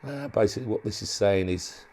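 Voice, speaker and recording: Male hobbyist demonstrator, lowish gravelly voice, informal and unscripted, feels his way through sentences. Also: darkish tone. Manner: halting, thinking aloud. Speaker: hobbyist presenter demonstrating a modified radio. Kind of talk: casual unscripted product walkthrough.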